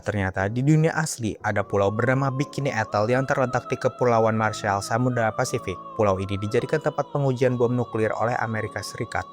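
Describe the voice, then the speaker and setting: Male video storyteller, lowish pitch, casual slangy address to the audience, background music. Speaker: video narrator. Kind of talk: storytelling narration for online video. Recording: music behind the voice.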